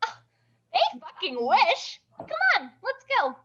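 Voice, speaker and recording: A woman playing a brash, bubbly, blunt burlesque veteran in an animated table read, gushing and bossy with heavy emphasis. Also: very high voice, takes it slowly, lo-fi sound, very noisy, heavily acted. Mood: confident, challenging.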